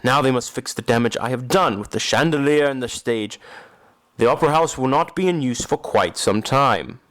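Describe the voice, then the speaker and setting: A man plays a tormented Phantom of an opera house in an audio drama. He is a brooding, masked recluse who speaks in grave, formal, deliberate phrases at a medium pitch. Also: lively intonation, fairly bright tone, close to an everyday voice. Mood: resolute, cold.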